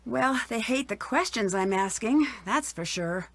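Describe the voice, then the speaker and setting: A woman's voice: fairly high pitch, animated, unhurried, fairly bright tone. An actress playing a plucky young female detective, talking conversationally in a video game. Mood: wry, downcast. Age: young adult.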